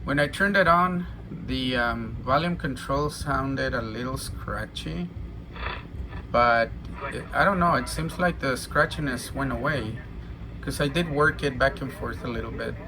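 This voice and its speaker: A man in casual radio chat and demonstrating equipment, talking in a halting, hesitant way. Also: medium pitch, poor audio. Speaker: amateur CB radio operator demonstrating a radio for sale.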